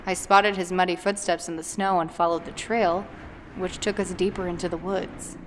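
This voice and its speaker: A woman's voice: fairly low pitch, flat delivery, moderate pace, background noise, lightly acted. A woman playing a self-assured, haughty teenage girl in a gang, telling her story in a knowing, dramatic way. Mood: serious. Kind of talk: scripted dramatic first-person narration.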